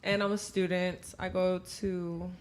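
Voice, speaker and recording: A woman's voice: fairly low pitch, level tone, a little slow. Woman, 20s, panel guest, giggly and rambling, with light background hiss. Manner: giggly, filler-heavy, repeats herself. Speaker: podcast guest. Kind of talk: casual panel chat.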